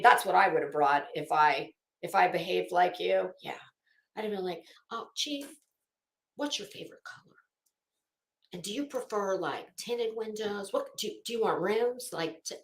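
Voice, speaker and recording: A woman reading aloud with mocking commentary, sarcastic and ranting, swearing freely and stopping for halting, drawn-out emphasis. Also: fairly high pitch, a bit bright. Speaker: commentary vlogger. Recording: roomy, echoing room sound.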